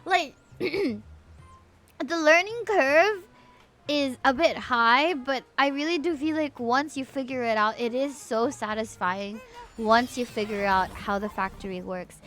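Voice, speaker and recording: A woman's voice: sits high, a little slow, fairly bright tone. A woman, chatting casually and informally to viewers on a livestream. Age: 30s. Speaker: streamer and VTuber.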